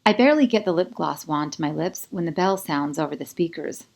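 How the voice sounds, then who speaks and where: Female audiobook narrator, lower-pitched, first-person fiction read with expressive, confiding delivery. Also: brisk, creaky. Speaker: author narrating own audiobook.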